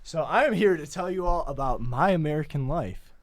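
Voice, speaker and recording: A man playing a boastful immigrant telling rambling drunken stories on a comedic college radio bit, halting with many "uh"s. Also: on the high side, lively intonation, no room sound, lightly acted. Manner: halting, rambling delivery full of "uh"s.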